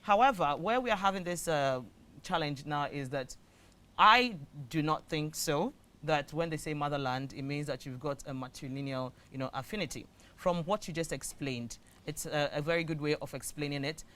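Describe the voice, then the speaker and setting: A man with a Ghanaian English accent hosting a studio talk show, measured and explanatory, leaning on fillers as he frames the topic. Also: a little high, bright, forceful tone.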